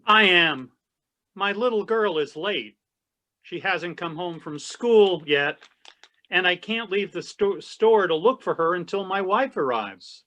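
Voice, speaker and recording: Earnest shopkeeper dad, acted by a man, high bright voice, slow deliberate pace, readers theatre. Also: animated, full character voice. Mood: worried. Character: worried father, a shopkeeper. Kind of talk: readers theatre performance of a children's story.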